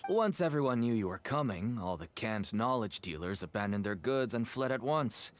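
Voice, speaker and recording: A man's voice: medium pitch, expressive, normal speed, close to an everyday voice. A man playing a nervous, wheedling dealer. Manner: pleading, wheedling.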